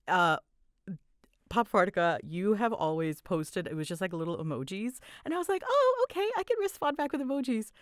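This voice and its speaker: A woman's voice: medium pitch, big pitch swings, a little fast, no echo. A woman chatting casually and dramatically in a scattered, self-deprecating way, her voice swooping up and down, recorded close on a mic in a small closet. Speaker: ASMR audio creator and voice performer. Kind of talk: casual rambling Q&A chat.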